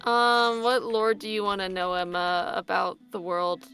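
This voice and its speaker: A woman's voice: mid-range voice, fairly even intonation, unhurried, fairly bright tone, clear, resonant tone, soft background music. A young woman player with a soprano voice, chatting casually and laughing easily between game scenes.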